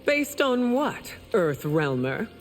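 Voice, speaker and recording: A woman's voice: mid-range voice, big pitch swings, slow, soft background music. An actress playing a regal, disdainful female empress in a video game, with a cool, condescending delivery.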